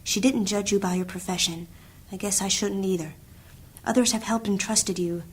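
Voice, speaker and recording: An actress playing a gentle, earnest young princess who is a healer, speaking softly and urgently in a fantasy audio drama. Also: female voice, mid-range voice, steady tone, moderate pace, slightly rough, lightly acted, poor audio. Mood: pleading whisper. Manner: soft, urgent, often hushed.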